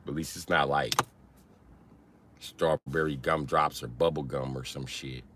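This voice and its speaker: A man hosting a casual livestream, teasing and swearing as he banters with viewers in an animated, exaggerated way. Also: a little slow, slight background noise. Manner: teasing, profane mockery; animated, breaks into high-pitched exaggerated mimicry. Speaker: livestream host chatting with viewers.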